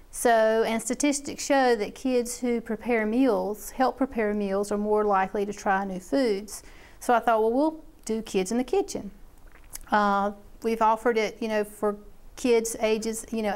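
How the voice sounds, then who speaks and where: A woman's voice: mid-range voice, a little mellow. A middle-aged woman, an extension agent explaining a program in a chatty way, with many fillers. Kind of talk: interview about a program.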